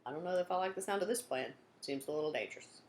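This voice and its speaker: Animated woman reading a children's story. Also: lowish voice, rapid-fire, echoey room. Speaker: storybook reader. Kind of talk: expressive children's read-aloud narration.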